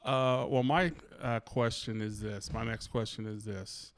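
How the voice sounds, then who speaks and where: A man's voice: on the low side, fairly even intonation, unhurried. A man, the host's father, asking questions in a playful, joking way with many fillers. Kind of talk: casual interview chat.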